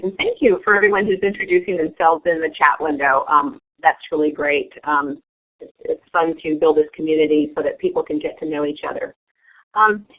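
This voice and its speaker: A woman hosting an online meeting, presenting with frequent fillers and restarts. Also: deep voice. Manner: hesitant, many fillers. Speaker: webinar host. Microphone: web conference audio. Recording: tinny phone-like line.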